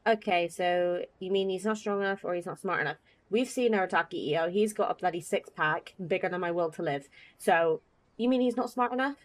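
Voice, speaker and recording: A woman's voice: fairly low pitch, fairly quick. A young woman doing casual gameplay commentary, wry, slangy and self-deprecating. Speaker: gaming streamer.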